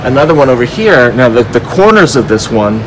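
A man in his forties giving a casual walking tour to camera outdoors, explaining informally and haltingly as he points things out. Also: medium pitch, big pitch swings. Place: outdoors in a paved city square. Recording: noisy outdoor city ambience with traffic.

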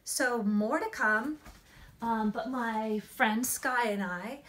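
A woman in her forties, an author reading and explaining things to children in an expressive, emphatic storytelling manner. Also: on the high side, slow pace. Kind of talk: expressive picture-book read-aloud and explanation to children. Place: small indoor room against a plain wall.

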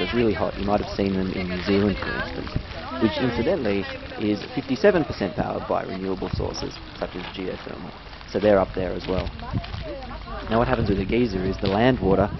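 An Australian man giving a casual, chatty, unscripted travel narration with bits of science explained informally. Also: deep voice. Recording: dull, lo-fi audio with loud background noise.

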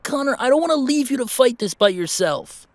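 Guy doing a young werewolf lad, high voice, worried n pleading, excitable, audio drama. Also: a little fast, dry recording. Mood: insistent, pleading. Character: eager, anxious young male werewolf, loyal little brother.

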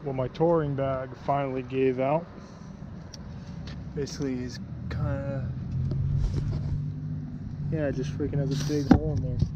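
Man, casual travel vlog, laid-back, little pitch movement, plainspoken, noisy outdoor recording. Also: level tone, unhurried, long pauses. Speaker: motorcycle travel vlogger. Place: outdoors by the roadside.

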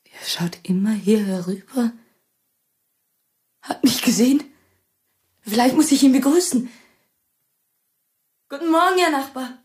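A woman's voice: high-pitched, unhurried, pauses often, a little mellow, slightly rough. A woman acting a frightened, heartless neighbour in a German radio play, anxious, insistent and repetitive. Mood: fearful, watchful. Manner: anxious, insistent, repeating herself.

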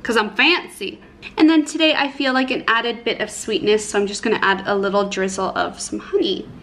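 A woman's voice: medium pitch, fairly even intonation, brisk, a bit bright, a little hiss or hum. A woman in her twenties talking casually to her vlog viewers at home, bubbly, informal and self-deprecating. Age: 20s. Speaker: beauty and lifestyle vlogger. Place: indoors at home, living room and kitchen.

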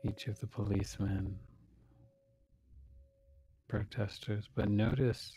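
A man leading a guided meditation as a teacher, speaking calmly in short, measured phrases with long pauses. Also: on the low side, a little hiss or hum.

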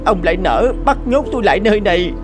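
A woman voicing a small, gentle bird in an animated folk tale, with a plaintive, pleading manner. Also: mid-range voice, fast talker, lightly acted. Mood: sorrowful, reproachful. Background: music behind the voice.

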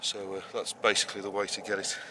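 Low-voiced man giving a garden tour. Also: monotone, fast. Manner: rambling, many fillers and restarts, explains step by step. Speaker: allotment gardener, show host. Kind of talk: casual garden tour walkthrough. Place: outdoors on a vegetable allotment. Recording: noisy outdoor camera audio. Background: outdoor rural ambience.

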